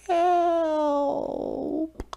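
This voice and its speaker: A storybook reader voicing a young, excitable, eager minnow fish that exclaims and asks impatient questions. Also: high voice, drawn-out words, vocal fry, highs cut off, pitched above the performer's own voice, full character voice. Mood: feeble plea. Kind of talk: children's storybook character voice.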